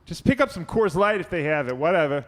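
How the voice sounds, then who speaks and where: Radio-play son, male actor: fussy, overbearing foodie, highish voice, big pitch swings, hall reverb. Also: very animated, fast talker, fairly bright tone. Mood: hesitant, mellowed. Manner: fussy, dramatic. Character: overbearing fussy gourmet son, easily panicked, whiny. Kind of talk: live radio theater comedy sketch. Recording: reverberant hall stage recording.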